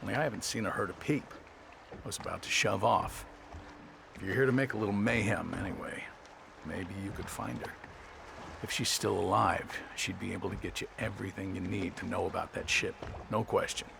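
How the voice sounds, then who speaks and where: An actor playing a nervous, scheming small-time informant in a video game, gravelly and wheedling, with street-wise informal talk. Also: male voice, sits low, a little fast, noisy background. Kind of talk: scripted video game dialogue.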